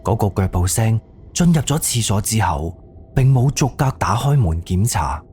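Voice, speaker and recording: Low-voiced male suspense narrator. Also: expressive, moderate pace, darkish tone, slight background noise. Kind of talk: scripted suspense story narration. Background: background music.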